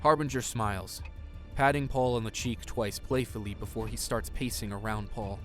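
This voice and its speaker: A man's voice: fairly low pitch, normal speed, soft background music, close to an everyday voice. A man narrating an audio drama, reading scripted scene action in the present tense.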